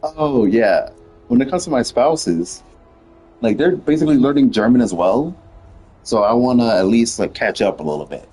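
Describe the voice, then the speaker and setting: Husky-voiced streamer, chatty and animated. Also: mid-range voice, normal speed, soft background music. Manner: chatty, talks to self while playing. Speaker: gaming streamer. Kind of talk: casual gameplay commentary.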